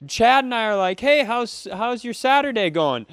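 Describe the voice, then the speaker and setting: Male podcast co-host, casual and self-deprecating, animated melody, close dry sound. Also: extremely high pitch, moderate pace, smooth, no echo. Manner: riffing, profane, self-deprecating. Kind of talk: bantering casual comedy chat.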